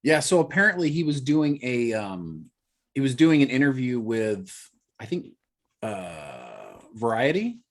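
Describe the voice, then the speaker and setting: A man's voice: mid-range voice, very animated, unhurried. A man hosting a casual podcast, explaining things chattily and hedging with fillers. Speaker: podcast host. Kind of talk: casual chat.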